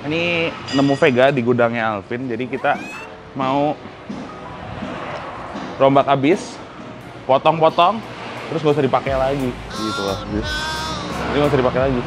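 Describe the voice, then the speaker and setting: A young man hosting a casual vlog at an outdoor garage, teasing and joking in relaxed slang. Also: mid-range voice, normal speed, long pauses, music in the background. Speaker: vlog host. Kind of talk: casual chat.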